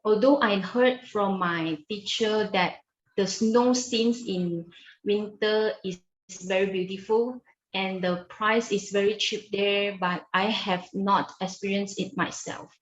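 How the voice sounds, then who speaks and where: A woman's voice: mid-range voice, steady tone, highs cut off, a little hiss or hum. Young woman in an echoey room. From Malaysia. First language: Chinese. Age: young adult. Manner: careful, with many hums and pauses. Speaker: interviewee, university student. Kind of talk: answering interview questions.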